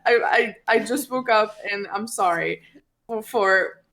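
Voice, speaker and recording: Creaky-voiced young woman, native Norwegian speaker. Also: medium pitch, unhurried, fairly bright tone. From Norway. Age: 20s. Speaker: podcast co-host, streamer. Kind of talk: casual chat.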